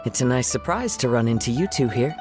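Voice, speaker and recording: Serene young male wanderer for a game, male actor, soft mid-pitched voice, courteous measured phrasing, music underneath. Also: natural voice. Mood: pleasant, welcoming. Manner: calm, polite, measured, formal wording. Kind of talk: animated video game voice acting.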